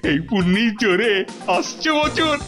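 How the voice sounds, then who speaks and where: A man playing a silly, stubborn, ageing king in a kids' cartoon, theatrical and repeating his words in excitement. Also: sits high, a little slow, music in the background. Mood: grandly delighted. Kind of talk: Bengali kids' cartoon voice acting.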